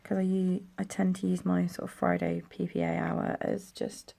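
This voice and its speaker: Croaky low-ish girl rambling on about her planner, bit gravelly, faint traffic hum behind. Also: flat delivery, normal speed. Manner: chatty, rambling, soft-spoken. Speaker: planner vlogger. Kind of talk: casual chat while decorating a planner. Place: home room near a busy road. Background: traffic noise.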